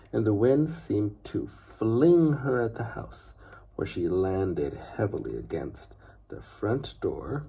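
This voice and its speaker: Slow, very husky male storyteller. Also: medium pitch, warm tone. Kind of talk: scripted children's story narration.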